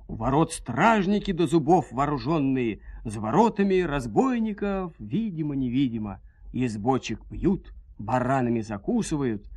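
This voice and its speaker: Male storyteller for dramatized folk tale; mid-range voice, folksy, expressive delivery. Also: mid-range voice, slightly rough, dry recording. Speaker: storyteller narrator.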